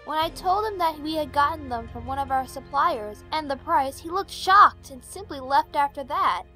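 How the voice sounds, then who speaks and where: An actress playing a polite, sincere young pony shopkeeper who speaks earnestly in an audio drama. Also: female voice, high-pitched, animated, normal speed, pushed and bright, faint music behind, poor audio. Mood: helpful, recalling.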